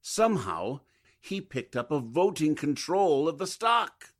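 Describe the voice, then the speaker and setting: A man's voice: fairly high pitch, very animated, measured pace. A man playing a courteous but aggrieved businessman with a formal, polite manner, in 1940s radio drama style. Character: courteous, aggrieved businessman, a wholesale leather dealer.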